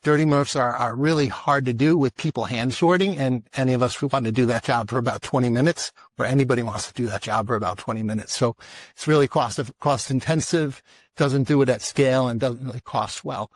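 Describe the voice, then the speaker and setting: A man's voice: mid-range voice, brisk, no room sound. A man with a mid-range voice talks through his part of an industry panel. He often restarts phrases as he goes. The recording is dry. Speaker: conference panelist. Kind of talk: industry presentation.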